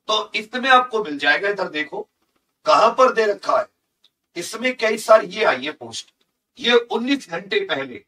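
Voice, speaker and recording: A man's voice: high voice, pushed and bright, recorded from a distance, rough recording. A man, teaching emphatically and repeating key figures and points for his students. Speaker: online coaching teacher. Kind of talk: instructional lesson explaining job vacancy notices. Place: indoor teaching studio with large digital board.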